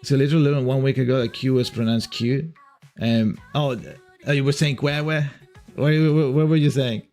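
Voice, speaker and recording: A man's voice: medium pitch, steady tone, normal speed, a little mellow, faint music behind. A young man from Italy with an Italian accent, chatting casually on a livestream, rambling and restarting his sentences and swearing now and then. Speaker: streamer.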